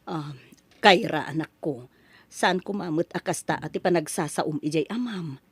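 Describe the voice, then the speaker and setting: A woman's voice: low voice, moderate pace, breathy edge, no echo. A woman playing a worried, long-suffering mother who pleads plaintively in an Ilocano drama. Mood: hurt, subdued. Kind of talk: Ilocano radio-style drama acting.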